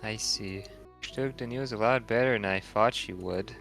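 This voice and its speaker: A man voicing a calm, experienced woman mentor in an amateur read-through of a video game, measured and serious in delivery. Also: fairly low pitch, creaky, faint music behind. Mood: pensive. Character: calm, experienced older-sisterly woman, seasoned adventurer mentor. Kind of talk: amateur voiced video game dialogue reading.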